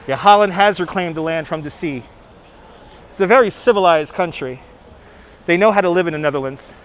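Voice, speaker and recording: A man's voice: a little high, fairly quick, a little hiss or hum. A man with a New York accent chatting casually on a live stream, talking back to viewers by name and laughing often. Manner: chatty, reads chat names aloud, laughs often. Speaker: livestream host. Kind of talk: casual live-stream chat while walking. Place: outdoors on city streets. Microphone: phone on gimbal.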